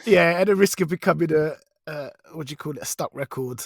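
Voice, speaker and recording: Higher-pitched man chatting. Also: quick pace, no room sound. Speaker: podcast co-host, also audio drama performer. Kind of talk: casual podcast chat.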